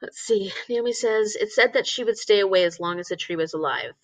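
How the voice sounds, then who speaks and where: A woman's voice: medium pitch, brisk, dry recording, rough recording. A woman hosting a casual live book discussion, thinking aloud and often posing questions. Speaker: teacher.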